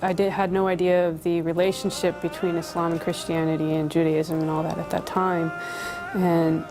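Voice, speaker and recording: An American woman recounts her experience conversationally, with very little rise and fall in her voice. Music runs clearly underneath. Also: on the low side, little pitch movement, moderate pace, rough recording. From Colorado, United States. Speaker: interviewee telling a conversion story. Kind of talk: casual personal storytelling. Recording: music playing behind the voice.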